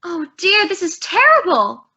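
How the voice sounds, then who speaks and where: A woman's voice: very high voice, big pitch swings, unhurried, sharp tone, heavily acted. A woman playing a dutiful, sympathetic household maid in an old-time radio sitcom, deferential and comically concerned. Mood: dismayed. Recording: noisy, dull old radio recording.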